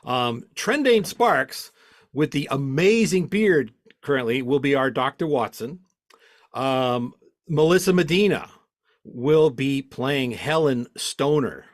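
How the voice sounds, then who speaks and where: A man hosts a live show with a casual introduction. His voice is lively and varied in pitch, with joking, drawn-out emphasis. It sounds dry and close. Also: no room sound. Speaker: host.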